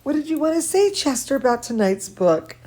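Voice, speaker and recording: A woman reading a bedtime storybook aloud to children, expressive and cooing, speaking as if to very young listeners. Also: sits high, a little fast. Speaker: children's storyteller, dance studio teacher.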